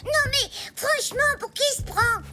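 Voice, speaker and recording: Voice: very high-pitched, unhurried. An actor voicing a naive, eager little girl boar in a cartoon, with a childlike, enthusiastic manner. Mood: indignant. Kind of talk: animated cartoon dubbing. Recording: loud music behind the voice.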